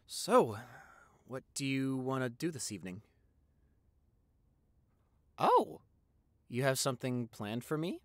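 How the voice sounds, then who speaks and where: A young man playing an affectionate, excitable vampire boyfriend in an intimate audio roleplay, gushing and stammering. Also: mid-range voice, slow, pauses often, no room sound, clean studio sound. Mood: curious, hesitant. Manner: gushing, stammering, repeats himself.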